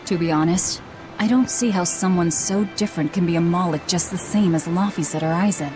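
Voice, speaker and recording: A woman's voice: lowish voice, brisk, natural voice. A woman playing a blunt, guarded young adventurer in a video game cutscene, with a dry delivery. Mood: skeptical. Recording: music under the voice.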